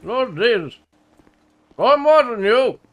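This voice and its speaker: A man doing a high, touchy roughneck. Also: lively intonation, slow pace, a bit bright, faint music behind, full character voice, rough recording. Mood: outraged. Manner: blustering, swears constantly, tacks "boy" on every line. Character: touchy rough-spoken rural Newfoundland man. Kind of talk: comedy sketch acting.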